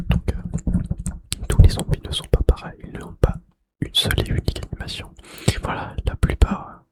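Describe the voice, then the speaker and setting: A man whispering in a breathy, unvoiced voice with mouth sounds, in an ASMR style, softly repeating phrases. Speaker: ASMR video creator and host.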